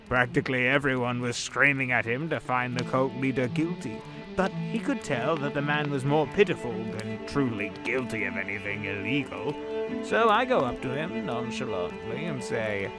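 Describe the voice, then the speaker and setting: A man voicing the Devil as a sly, smooth-talking businessman in an audio drama, drawling and knowing. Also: mid-range voice, close to an everyday voice. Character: the Devil posing as suave rich businessman, middle-aged man. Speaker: voice actor. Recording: with background music.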